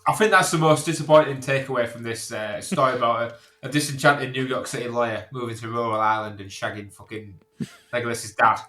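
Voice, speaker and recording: A man with a bright, forward voice banters casually on a comedy podcast. He is sweary and conversational, recorded in a noticeably echoey room. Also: mid-range voice, fairly even intonation, fast. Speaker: podcast panellist.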